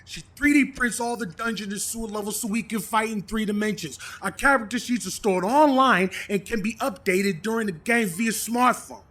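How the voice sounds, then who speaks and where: A man's voice: high voice, moderate pace, hardly any pauses, fairly bright tone. A man acting a pompous, complaining tabletop gamer who plays a boastful paladin in a comedy audio drama. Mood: indignant complaining.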